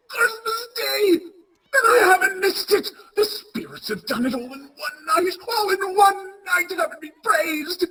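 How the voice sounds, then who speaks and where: Woman acting high-voiced, stammering old miser. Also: animated, slow, pushed and bright, exaggerated voice, low-quality recording. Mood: giddy, overjoyed. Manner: stammering, pleading, exclamatory. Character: frightened old male miser, desperately repentant, then giddy. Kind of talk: radio play acting.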